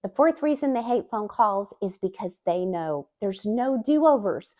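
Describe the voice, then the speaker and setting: A woman hosting her own channel as a communication coach, giving a scripted, expressive talking-to-camera explainer with emphatic rises in her delivery. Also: mid-range voice, unhurried, dull audio. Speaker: YouTube host and communication coach.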